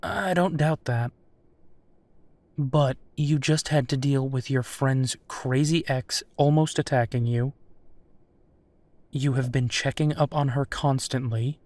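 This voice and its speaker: A man's voice: mid-range voice, steady tone, takes it slowly, a little mellow, no echo, lightly acted. A man playing a protective, teasing werewolf private investigator in an intimate roleplay audio drama, speaking in a conversational, affectionate way. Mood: gently concerned.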